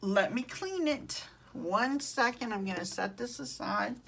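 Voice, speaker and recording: A Southern American woman talks her viewers through a craft project in an easy, chatty way and stops to answer their comments. Her voice is bright and forceful, set against a faint hiss. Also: on the high side, lively intonation, measured pace, creaky. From southern United States. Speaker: craft demonstrator. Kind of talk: casual live craft tutorial.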